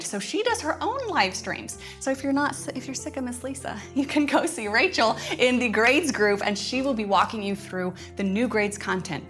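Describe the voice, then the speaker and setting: A woman's voice: medium pitch, a bit bright. A woman hosting a casual live-stream update for members, talking in a chatty, informal way. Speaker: host, homeschool curriculum creator.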